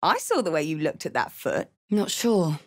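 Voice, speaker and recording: A woman's voice: sits low, very animated, normal speed. A battle-hardened, big-hearted barbarian woman from a fantasy video game. She speaks bluntly and informally, in short punchy lines that suit a brash tiefling fighter. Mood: bold.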